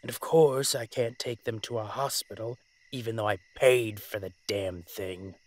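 A man playing a commanding, weary dragon-shifter mafia boss in a dramatic roleplay audio, speaking in a measured, authoritative way. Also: lowish voice, fairly even intonation, lightly acted. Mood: grave, commanding.

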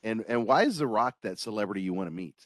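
Middle-aged man, host, low pitch, dry close sound, friendly joking questions, video call. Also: expressive, fast talker, no room sound. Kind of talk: casual interview on a video call.

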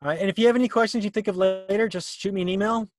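A man's voice: high voice, steady tone, very fast, darkish tone, no echo, studio quality. A man in his fifties hosting an informal video-call how-to session, casual and conversational, thinking aloud as he goes. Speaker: workshop host. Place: small cluttered indoor room on a video call.

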